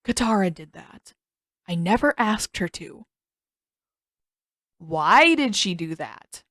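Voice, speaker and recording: A woman's voice: lowish voice, lively intonation, takes it slowly, fairly bright tone, no echo, clean studio sound, heavily acted. A woman voicing a tired, sullen teenage prince in an audiobook story, terse, defensive and sarcastic. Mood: weary, sullen.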